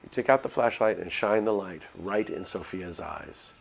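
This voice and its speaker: Low-voiced man streaming a game. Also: normal speed, gravelly, a little hiss or hum. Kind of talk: live playthrough, reading visual novel aloud with casual chat.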